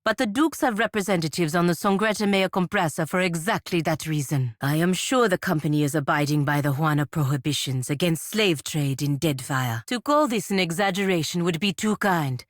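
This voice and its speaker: An actress playing a proud, sardonic fantasy warrior-diplomat in a video game, speaking formally and with dry scorn. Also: female voice, lowish voice, fairly quick, few pauses, no echo, lightly acted. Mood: cool, formal. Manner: formal, clipped, dryly scornful. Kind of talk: video game character dialogue.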